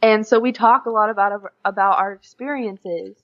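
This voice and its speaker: A woman's voice: mid-range voice, steady tone, moderate pace, dry recording. A young woman with an American accent chatting informally as an interview guest on a voice call. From USA. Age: young adult. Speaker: interview guest, exchange student. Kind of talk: casual chat. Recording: dull lo-fi internet call audio.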